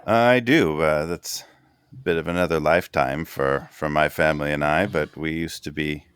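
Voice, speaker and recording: A man's voice: extremely low pitch, moderate pace, fairly bright tone, clean tone, dry recording, voice pushed down. A man voicing a polite, formal male court minister in a tabletop game, speaking courteously with small hesitations. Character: polite stiff male minister, middle-aged.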